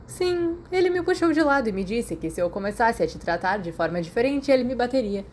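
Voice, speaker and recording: A woman's voice: fairly high pitch, expressive, brisk, darkish tone, slight background noise, voice pushed up, lightly acted. A Brazilian woman voicing a cheeky, theatrical teenage boy wizard in an audiobook, teasing and mock-indignant. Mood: amused. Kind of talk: audiobook character voice.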